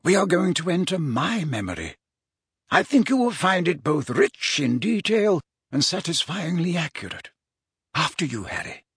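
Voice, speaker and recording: A grave yet kindly elderly male wizard, performed by a man reading a storybook. He speaks in measured, formal sentences with a lifted, highly varied pitch and a slightly breathy roughness. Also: sing-song, a bit bright, no room sound, clean studio sound, heavily acted. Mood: bright, inviting. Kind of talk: audiobook character voice.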